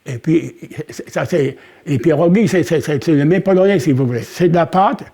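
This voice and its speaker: Gravelly, husky man with many restarts. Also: on the high side, normal speed, mellow. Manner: hesitant, repeats words. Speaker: interviewee. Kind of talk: documentary interview.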